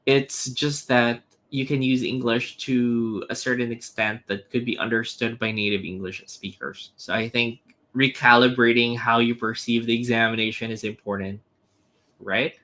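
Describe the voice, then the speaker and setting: A man's voice: mid-range voice, steady tone, normal speed, bright, forceful tone. A man teaching an English test prep webinar, explaining earnestly with fillers and restarts. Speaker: IELTS teacher. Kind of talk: webinar lesson with slides.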